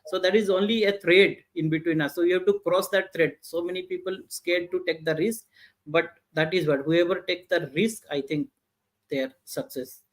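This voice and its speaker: A middle-aged man from India with an Indian English accent, telling his business story in a halting, filler-heavy way. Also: sits high, steady tone, low-quality recording. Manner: halting, many "uh" fillers and restarts. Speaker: guest entrepreneur. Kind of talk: interview answers, casual storytelling.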